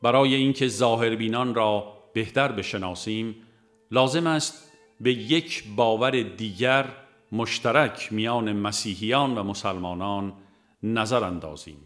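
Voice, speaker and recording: A man reading a religious audiobook in a measured, formal and deliberate scripted narration. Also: lowish voice, fairly bright tone, soft background music. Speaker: audiobook reader.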